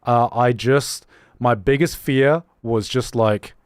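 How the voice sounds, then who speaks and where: A man's voice: mid-range voice, smooth, no echo, studio quality. A young man with a mixed, slightly British-tinged accent, chatting casually on a livestream and reading viewer messages, laughing often and restarting his sentences. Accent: mixed, slightly British-tinged English. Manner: animated, laughing often, many quick restarts. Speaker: streamer.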